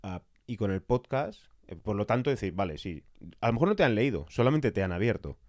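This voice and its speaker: A male podcast host with a deep, low voice and a Spanish accent from Spain, captured very dry. He explains in a conversational, teacherly way, often tacking on a quick question to check he is followed. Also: sing-song, darkish tone, dry recording. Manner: didactic, checks in with short tag questions.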